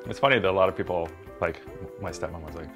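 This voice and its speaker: A man's voice: low-pitched, fast talker, over music. A man talking casually and reflectively in an interview, in hesitant, meandering phrases. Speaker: interviewee in a documentary.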